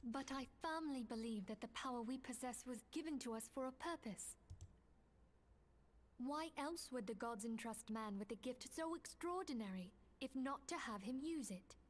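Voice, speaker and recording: Dignified, high-voiced lady leader. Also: animated. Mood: earnest, imploring. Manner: formal, solemn, measured phrasing. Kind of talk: scripted video game cutscene acting. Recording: game audio with clear background noise.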